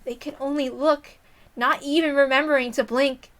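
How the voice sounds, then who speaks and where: A woman narrates a visual novel in a dramatic scripted style. Her voice sits very high and has a bright, sharp tone. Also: fairly even intonation, moderate pace.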